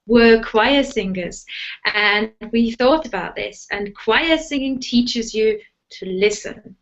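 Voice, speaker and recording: A woman's voice: a little high, slow pace, muffled recording. A woman with a German accent, a native German speaker, hosting a live interview and asking musing questions with many fillers. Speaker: host.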